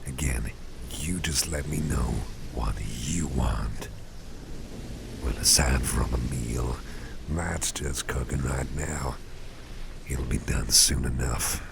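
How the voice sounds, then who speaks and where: A man playing a caring, easygoing boss in an ASMR roleplay, murmuring softly and breathily in a rambling, reassuring way, close to the microphone. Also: rumbling low, slow pace, gravelly, noisy background, heavily acted. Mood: soothing, attentive. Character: caring, easygoing male boss, gently soothing and chatty. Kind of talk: ASMR roleplay, soft personal-attention talk.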